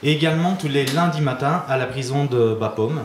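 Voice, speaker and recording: A man's voice: mid-range voice, a little fast. A man answering interview questions as a guitar teacher, explaining things informally in casual conversation. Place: indoor studio. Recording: roomy echo, clear background noise.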